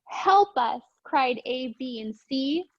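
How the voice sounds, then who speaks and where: A woman teacher reading aloud to young children in an expressive, sing-song, encouraging way. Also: sits high, slow pace, no room sound, muffled recording. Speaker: preschool teacher reading storytime.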